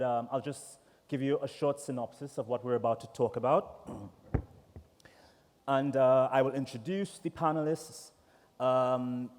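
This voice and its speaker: A man from Trinidad and Tobago with a Trinidadian accent, chatty and relaxed, moderating a conference panel at a desk microphone. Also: medium pitch. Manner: relaxed, chatty, with many fillers. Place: large conference hall. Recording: echoey hall reverb.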